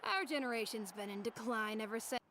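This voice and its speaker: A woman's voice: fairly high pitch, brisk, pushed and bright, a little hiss or hum. A woman voicing a seasoned, boastful veteran warrior in a video game, wry and cocky.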